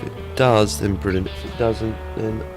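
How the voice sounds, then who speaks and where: A man's voice: on the low side, steady tone, normal speed, over music. A man with a head cold, chatting casually through gameplay as a let's play host, rambling with lots of ums.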